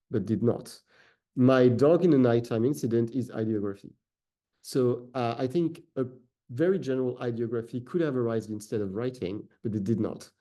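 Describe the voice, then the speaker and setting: A man's voice: medium pitch, dark tone. A man whose first language is French, speaking with a French accent, giving an academic lecture with many "uh" fillers and self-corrections. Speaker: researcher giving a talk.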